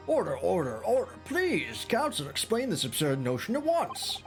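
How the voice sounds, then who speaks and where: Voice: medium pitch, huge pitch swings, normal speed, soft background music, pitched above the performer's own voice. A streamer voicing a stern court judge with a formal, commanding manner. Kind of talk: reading game dialogue aloud.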